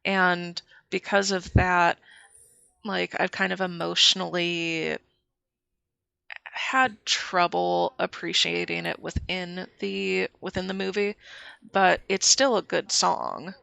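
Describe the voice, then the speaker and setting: A woman co-hosting a podcast in casual chat, a bit sick, speaking slowly and deliberately while searching for words. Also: on the low side, flat delivery, vocal fry, pushed and bright, clear, resonant tone, dry recording, dull audio. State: sick with a cold. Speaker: podcast co-host.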